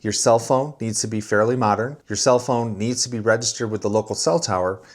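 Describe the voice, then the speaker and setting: A man's voice: fairly low pitch, fairly even intonation, fairly quick, no echo. A man hosting a video, giving a casual, plainspoken product review and explaining things as he goes. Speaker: video host.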